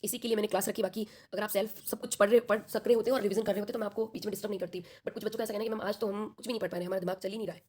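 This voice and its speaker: Fast-talking husky-voiced woman teacher. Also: medium pitch, steady tone, darkish tone. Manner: fast, chatty, reassuring, checks in with students often. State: sore throat. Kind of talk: online class coaching students for an exam.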